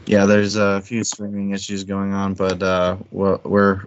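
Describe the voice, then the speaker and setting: A man with a fairly low voice talks through a book in a loose, conversational way. He is frank and swears casually. The sound is a dull, lo-fi stream call. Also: monotone, a little slow, slight background noise. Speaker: book club stream co-host.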